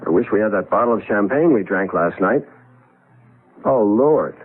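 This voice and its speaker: A man's voice: lowish voice, expressive, normal speed, breathy edge. Stammering, evasive crooked businessman, muffled old radio. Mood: flustered. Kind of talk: 1970s radio drama acting. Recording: muffled vintage radio broadcast.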